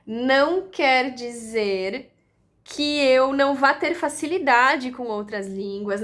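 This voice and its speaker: Woman, astrology teacher, calm explaining, slow. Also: sits high. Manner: calm, repetitive, explains with analogies. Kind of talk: explanatory lesson.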